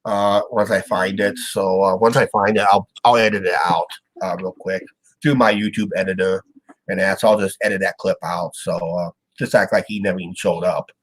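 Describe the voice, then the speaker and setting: A man's voice: low-pitched, fairly even intonation, moderate pace. A man from Iowa hosting a casual live-stream chat, with a stuffed-up nose. He rambles and halts, trailing off with "and that". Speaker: show host.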